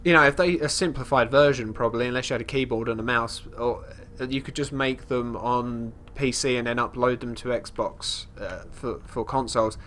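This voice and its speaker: A man's voice: mid-range voice, fairly even intonation, normal speed, few pauses, a bit bright, slight background noise. Congested man rambling over gameplay. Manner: rambling, chatty, often restarting sentences; talks about his character in third person. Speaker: let's play host. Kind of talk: casual gameplay commentary.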